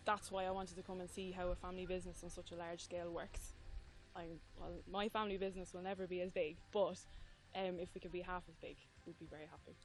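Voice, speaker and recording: Low-voiced young woman. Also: level tone, fast talker, rough recording. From Ireland. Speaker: trainee stud worker. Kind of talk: casual interview answers. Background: clear background music.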